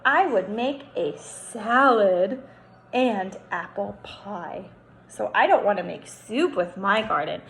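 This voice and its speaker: A young woman reads aloud to small children as their teacher, slow and deliberate, with noticeable creak in her voice. She stretches key words and explains as she goes. The room rings around her voice. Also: medium pitch. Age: young adult. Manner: animated, instructive, stretches words for emphasis. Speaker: kindergarten teacher. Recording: roomy echo, faint background noise.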